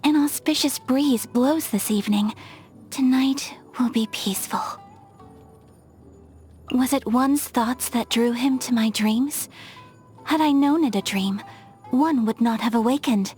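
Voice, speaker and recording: Game role, female actor: young noblewoman of a samurai clan, higher register, refined, soft-spoken, politely formal, over background music. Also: a little slow, pauses often, close to an everyday voice. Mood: gentle, warm. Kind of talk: scripted video game character voice lines. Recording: music behind the voice.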